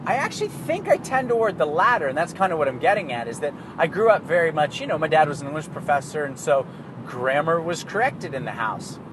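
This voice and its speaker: A man's voice: on the high side, very animated, fairly quick. A Canadian man talking in a casual, rambling monologue, swearing freely and laughing at himself. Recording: street and car noise behind.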